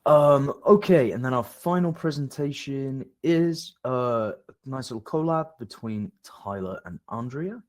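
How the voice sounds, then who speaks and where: A male moderator on a video call talks in a loose, casual way. He repeats himself and fills pauses as he hands over to speakers. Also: medium pitch. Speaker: session moderator.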